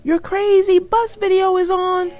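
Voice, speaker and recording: A woman's voice: extremely high pitch, level tone, unhurried, darkish tone, voice pushed up. A woman voicing an anxious young schoolboy in a storybook, an exasperated older brother with an earnest, pleading manner. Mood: coaxing.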